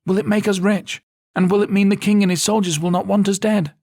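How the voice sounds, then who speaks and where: A man voicing a blunt, impatient young woman in a fantasy audiobook story, raising his voice high to play her. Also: level tone, fairly quick, a little mellow, no room sound, studio quality. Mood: eager. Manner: scolding, impatient. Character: young adult female sister, blunt and bold.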